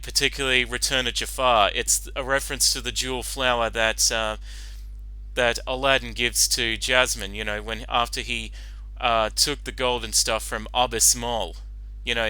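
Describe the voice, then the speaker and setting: Bright, crisp-voiced man giving rambling commentary. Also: mid-range voice, little pitch movement, normal speed, no room sound, low-quality recording. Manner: rambling, hesitant, apologetic. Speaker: reaction commentator. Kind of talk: casual off-the-cuff commentary.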